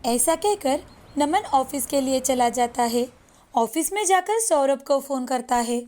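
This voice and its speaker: A woman's voice: high-pitched, lively intonation, a little slow, darkish tone. A woman reading a scripted moral story as its narrator, in storybook style.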